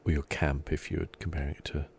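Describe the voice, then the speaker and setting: Male ASMR gamer, very low gravelly voice, low-key and rambling, faint noise behind. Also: little pitch movement, fast talker. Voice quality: soft-spoken, low-key. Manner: rambling, thinking aloud. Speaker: video game streamer. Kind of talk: relaxed ASMR gameplay commentary.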